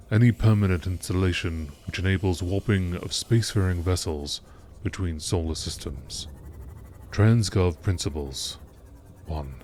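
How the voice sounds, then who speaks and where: Low-voiced man narrating over background noise. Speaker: channel narrator. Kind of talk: scripted narration, nature documentary style.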